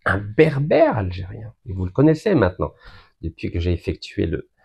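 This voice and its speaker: A man from Algeria giving an emphatic, deliberate public speech that builds long lists of points. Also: fairly low pitch, wildly swooping pitch, vocal fry, darkish tone, breathy edge. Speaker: political speaker.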